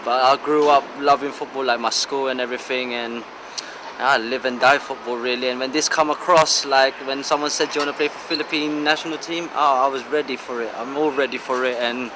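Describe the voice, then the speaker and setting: A man's voice: medium pitch, fairly even intonation, a little fast, background noise. A man in his thirties, a football player, giving a casual interview in an eager, rambling, informal way. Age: 30s.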